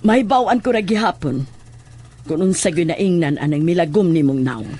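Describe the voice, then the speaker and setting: A woman playing a stern, suspicious middle-aged mother with a Cebuano Filipino accent in a horror audio drama, speaking in a probing, deliberate way. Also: lowish voice, animated, background noise, close to an everyday voice. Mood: suspicious, confronting. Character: stern, suspicious mother questioning her child.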